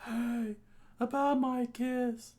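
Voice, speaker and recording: A man voicing an excitable, brash, whiny youngster who sounds greedy and eager, in a story read aloud. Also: extremely high pitch, extremely slow, pitched above the performer's own voice, heavily acted.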